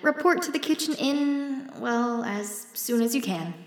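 A woman's voice: high voice, animated, measured pace, echoey room. A woman playing a wry, nervy watchtower crew member in an audio drama, dry and sarcastic in naturalistic style. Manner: dry, sarcastic, hesitant under stress.

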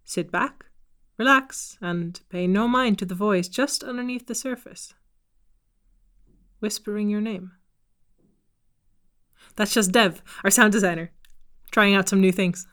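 A woman announcing a fiction podcast in measured, scripted narration. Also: medium pitch, a bit bright.

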